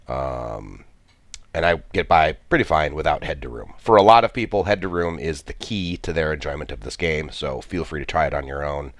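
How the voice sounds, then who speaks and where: Super deep guy, kinda stuffy from a cold, rambling thru his game, dry mic. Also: no echo. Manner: thinking aloud, many restarts and fillers. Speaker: gameplay commentator. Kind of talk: casual game commentary.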